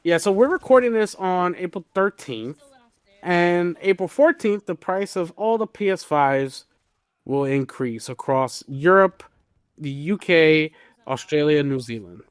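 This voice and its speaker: A man with a slightly high voice presents gaming news as a podcast host. He talks casually and leans on words for emphasis. The recording is dry and close. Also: expressive, a little slow, smooth, no room sound.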